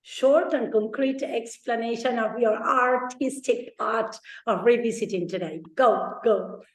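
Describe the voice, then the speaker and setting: A woman's voice: on the high side, a little slow, reverberant, rough recording. A woman from Argentina co-hosting a podcast, chatting casually and hesitantly with many fillers and restarts. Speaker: podcast co-host. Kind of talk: casual chat.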